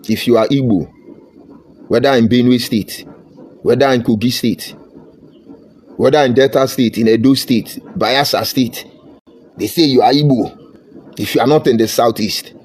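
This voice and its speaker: A man's voice: mid-range voice, darkish tone, slightly rough. Fervent Nigerian man ranting. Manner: fervent and combative, slipping into Pidgin phrases. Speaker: political commentator. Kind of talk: impassioned political monologue.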